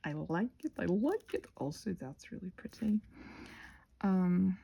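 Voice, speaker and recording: Female craft host, scattered and playful, lively swoops with creaky, slightly husky edges, casual thinking-aloud delivery. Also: mid-range voice, a little slow. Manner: playful, expressive exclamations. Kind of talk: rambling casual craft narration.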